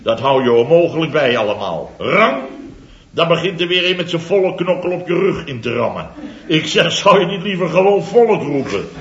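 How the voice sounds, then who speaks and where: A man's voice: on the high side, sing-song, normal speed, fairly bright tone, slight background noise. An actor playing a pompous, self-important middle-aged construction company boss who chuckles through his own boastful stories, in 1960s radio comedy style. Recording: dull roomy old radio recording.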